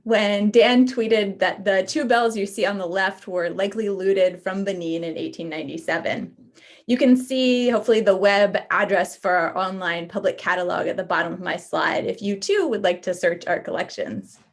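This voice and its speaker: A woman's voice: medium pitch, normal speed. A woman from a museum staff moderating a lecture event, speaking politely and a little self-deprecatingly.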